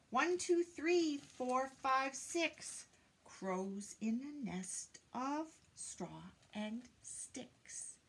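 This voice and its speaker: An older woman reads a picture book aloud to young children, counting in a deliberate singsong and prompting them with questions. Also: on the high side, a little hiss or hum. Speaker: children's teacher reading aloud. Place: indoors, small room lined with bookshelves.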